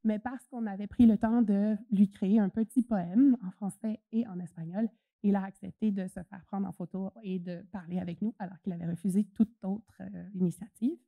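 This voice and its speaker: Dark-voiced woman giving a chatty talk. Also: mid-range voice, fairly even intonation, normal speed, creaky, dry recording. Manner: chatty, hesitant with many fillers. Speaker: conference speaker. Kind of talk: presentation talk.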